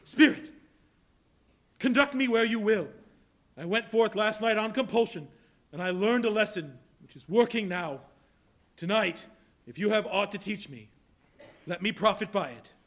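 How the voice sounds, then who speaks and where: Actor as a fretful old miser, high and swooping, pleading and theatrical on a live stage. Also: male voice, lively intonation, moderate pace, long pauses, a bit bright. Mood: curious. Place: college hall stage before an audience.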